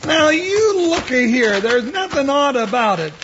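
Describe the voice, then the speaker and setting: An American actor playing a fat, boisterous, folksy deputy sidekick in an old radio Western, with a high-pitched voice and a blustering manner. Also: male voice, big pitch swings, a little slow, heavily acted. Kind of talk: 1950s radio Western acting. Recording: muffled, noisy old radio recording.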